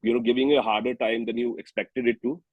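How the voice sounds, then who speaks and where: A man with an Indian accent speaking reflectively as an interviewed singer-songwriter, haltingly and often rephrasing himself. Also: mid-range voice, little pitch movement, breathy edge, dry recording, narrow, tinny audio. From New Delhi, India.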